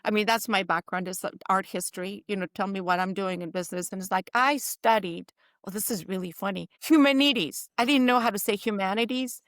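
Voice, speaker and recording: A woman of around sixty with a French accent, a native Spanish speaker raised in Spain and Portugal, telling stories as an interview guest in a lively, chatty way. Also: mid-range voice, fairly quick, dry recording, clean studio sound. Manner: lively, chatty, acts out other people's lines. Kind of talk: casual storytelling in an interview.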